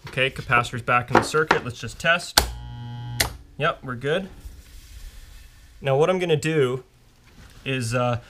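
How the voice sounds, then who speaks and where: A man's voice: mid-range voice, fairly bright tone. A man giving a casual, step-by-step technical demonstration as an instructor at a workbench. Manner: informal, explanatory, step-by-step. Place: indoors at a workbench.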